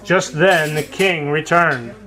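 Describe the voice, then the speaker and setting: A man's voice: a little high, takes it slowly, pushed and bright. A man telling a story as narrator of an amateur puppet show. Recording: faint background noise.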